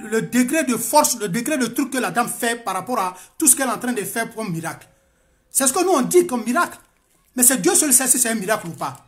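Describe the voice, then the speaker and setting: A man's voice: extremely high pitch, a bit bright. Man, imam, defensive and explanatory debater with repeated phrases, heard in a religious discussion on a phone call.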